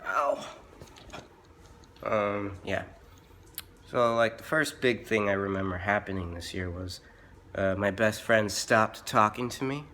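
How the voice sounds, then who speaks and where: A hoarse young man who has been sick, talking haltingly with many fillers and restarts in a casual vlog from home. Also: fairly low pitch, bright, forceful tone, a little hiss or hum. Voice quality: rough-voiced. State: hoarse from illness. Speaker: vlogger.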